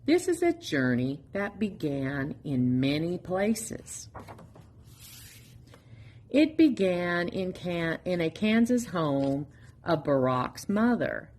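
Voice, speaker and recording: Slow, expressive woman reading a storybook. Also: on the low side, slight background noise. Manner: expressive, deliberate storytelling with pauses. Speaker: storytime reader. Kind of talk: read-aloud of a children's picture book.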